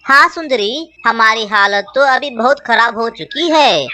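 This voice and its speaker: Humble crow husband for kids' animation, played by a woman: bright, swooping, earnest and pleading. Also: on the high side, expressive, measured pace, slight background noise. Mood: worried, pious. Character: meek, pious, jobless male crow husband. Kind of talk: children's cartoon voice acting in Hindi.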